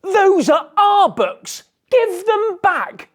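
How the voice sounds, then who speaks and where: A woman's voice: very high-pitched, big pitch swings, drawn-out words, pitched above the performer's own voice, full character voice. A woman voicing an alarmed, indignant schoolboy in a children's story, crying out urgently.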